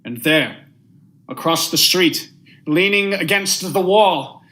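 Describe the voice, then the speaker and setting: A guilt-haunted judge in an old radio thriller acted by a young man, with a high, bright voice. He is melodramatic and panicky, stammering when rattled. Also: slow, exaggerated voice. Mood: haunted narration. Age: young adult. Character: panicked, guilt-haunted judge, murderer narrating his own downfall. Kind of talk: vintage radio thriller acting and narration.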